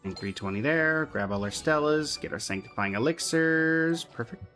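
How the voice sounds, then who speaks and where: A man's voice: fairly high pitch, animated, moderate pace, pushed and bright. Casual man streaming over music. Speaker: game livestreamer. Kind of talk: casual chatty game stream commentary.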